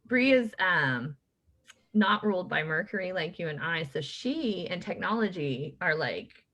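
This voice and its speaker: A woman in her late thirties hosting a podcast, talking in a chatty, animated way with many fillers. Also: fairly low pitch, unhurried. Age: late 30s. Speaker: podcast host. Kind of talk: casual chat.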